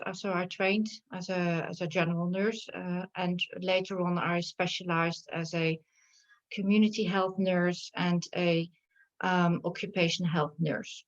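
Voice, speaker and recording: Dutch lady telling her story, lots of ums, kinda low voice, muffled audio. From Netherlands. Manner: hesitant, many fillers. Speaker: shamanic healer, guest. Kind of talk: interview, personal story. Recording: dull lo-fi sound.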